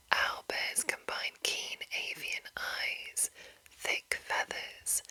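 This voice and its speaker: A woman whispering an ASMR reading of a game manual in a breathy, unvoiced voice, deliberate, with pauses between short phrases. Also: bright, forceful tone, poor audio. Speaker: ASMR channel host.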